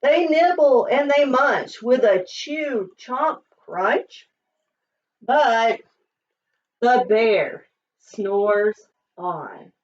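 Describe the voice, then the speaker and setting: A woman reading a children's picture book aloud in an expressive, sing-song storytelling manner. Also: fairly high pitch, drawn-out words. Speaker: storybook reader. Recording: slightly dull, distant microphone.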